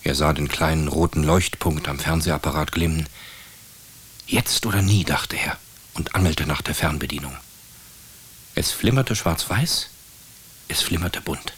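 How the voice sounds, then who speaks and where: A man with a deep, husky voice narrates a radio play. His delivery is scripted storytelling. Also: measured pace, pauses often, a bit bright.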